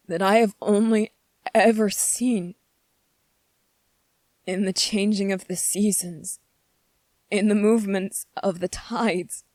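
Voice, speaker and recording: A woman playing a gentle, anxious young prince in an ASMR roleplay, speaking in a hesitant, earnest and pleading manner. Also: medium pitch, no room sound, close to an everyday voice. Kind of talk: ASMR roleplay, scripted character acting.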